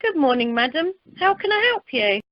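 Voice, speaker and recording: A woman playing a wry, quick-witted holiday rep in an amateur radio comedy, on a dull conference line. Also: sits high, big pitch swings, dry recording. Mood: polite, professional.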